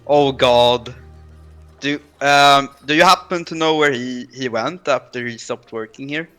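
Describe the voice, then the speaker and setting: A man playing a tabletop game, flustered, loud and full of fillers. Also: mid-range voice, a little slow, clean tone, soft background music. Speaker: player. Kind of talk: tabletop roleplay over voice chat.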